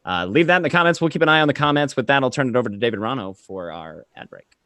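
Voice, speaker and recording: A man's voice: mid-range voice, expressive, racing, pushed and bright, no room sound. A man hosting a casual live talk show, addressing co-hosts and viewers. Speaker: host.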